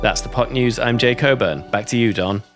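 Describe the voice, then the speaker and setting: A man's voice: mid-range voice, moderate pace. A man reading a scripted news report as a reporter. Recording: music playing behind the voice.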